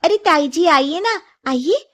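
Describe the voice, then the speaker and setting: Woman voicing a sweet, high-pitched young daughter-in-law. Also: animated, slow pace, full character voice. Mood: welcoming. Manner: polite, bubbly. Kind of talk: acted cartoon story dialogue.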